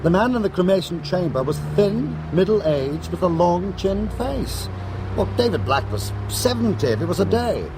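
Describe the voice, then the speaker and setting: Criminal courier, wry, conversational, radio drama, lightly pitched, noisy recording. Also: male voice, very animated, moderate pace. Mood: intrigued, wry. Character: wry, cool-headed male criminal courier and storyteller. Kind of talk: radio drama acting, anecdotal dialogue.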